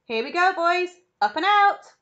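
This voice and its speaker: A woman's voice: on the high side, lively intonation, a little fast, dull audio, lightly acted. A woman voicing an exuberant, eccentric man who owns a chocolate factory, gushing and repeating himself eagerly in a storybook reading. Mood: excited. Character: exuberant, eccentric male chocolate-factory owner, gleefully excitable.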